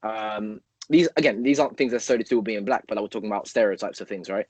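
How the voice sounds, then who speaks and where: A man co-hosts a casual podcast chat. His voice sits a little low, and it is recorded dry and close with no room sound. He rambles as he thinks aloud, piling up fillers and hypothetical examples. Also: fairly even intonation, rapid-fire, a little mellow, husky, no room sound. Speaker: podcast co-host. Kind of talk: casual conversational interview.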